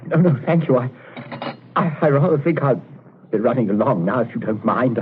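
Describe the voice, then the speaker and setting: An actor playing a timid, shaken English gentleman captain, stammering politely in 1940s radio drama. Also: medium pitch, animated, moderate pace, natural voice. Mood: shaken, anxious. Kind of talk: 1940s radio suspense acting. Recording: scratchy, narrow-band 1940s radio recording.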